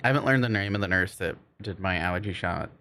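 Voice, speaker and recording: Man, low voice, game livestreamer, casual rambling chat, searching for words. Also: fast, pushed and bright. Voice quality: cannot roll R's.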